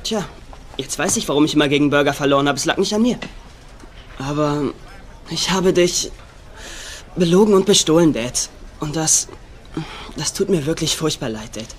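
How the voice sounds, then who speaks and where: A man's voice: fairly high pitch, animated, moderate pace, long pauses, slight background noise, natural voice. A man playing a contrite young son, speaking hesitantly and earnestly in a dubbed TV series. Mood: remorseful. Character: contrite young adult son confessing to father.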